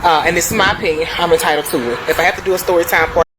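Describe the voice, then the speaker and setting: A woman's voice: lowish voice, steady tone, racing. An American woman hosting a video, casually sharing stories and opinions in an emphatic, digressive way. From United States. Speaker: video host. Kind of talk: casual storytelling and opinion to viewers. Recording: clear background noise.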